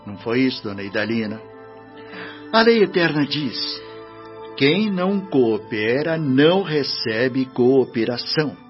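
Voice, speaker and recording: Radio drama role: male actor as paternal Brazilian spirit minister, gently reproving, widely swinging intonation, slightly muffled sound over music. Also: medium pitch, expressive, lightly acted. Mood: stern, reproving. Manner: measured, paternal, admonishing, with rhetorical questions.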